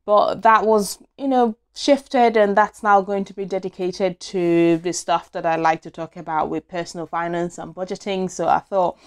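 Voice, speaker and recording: A woman's voice: lowish voice, fairly even intonation, moderate pace, few pauses, clear, resonant tone. A young woman from Nigeria in a small indoor room, telling her own story to camera in a casual, open way, with many fillers and self-corrections, recorded on a lapel mic. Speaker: vlogger. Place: small indoor room against a plain wall. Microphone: small clip-on lapel mic.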